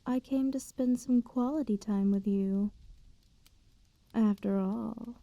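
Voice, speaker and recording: A woman voicing an aristocratic female vampire in a soft-spoken ASMR roleplay, possessive and condescendingly tender with a teasing menace. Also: on the high side. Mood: languid, teasing. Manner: slow, hushed, languid, veiled threats delivered sweetly.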